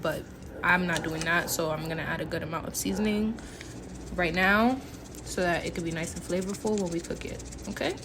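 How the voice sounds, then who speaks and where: A woman's voice: fairly low pitch, fairly even intonation, brisk, noisy background. A woman giving a casual, playful cooking tutorial, chatting to viewers informally as if they were friends. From Haitian background. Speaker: home cook, recipe vlogger.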